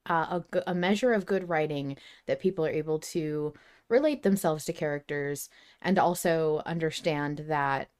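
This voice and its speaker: A woman's voice: low-pitched, moderate pace, darkish tone. A woman co-hosting a podcast, talking casually in discussion. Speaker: podcast host.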